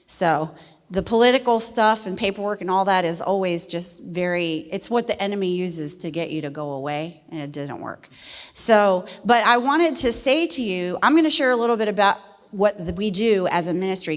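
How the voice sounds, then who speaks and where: A middle-aged woman from West Virginia, a missionary speaking to a church congregation in a folksy, animated way. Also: mid-range voice, moderate pace. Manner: folksy and animated, laughs easily. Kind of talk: church testimony talk. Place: church sanctuary. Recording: long reverb of a church hall.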